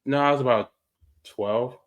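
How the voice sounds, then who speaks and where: A man around forty, co-hosting a sports podcast in an easygoing way, quick to agree and laugh along. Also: medium pitch. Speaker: podcast co-host. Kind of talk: casual sports chat.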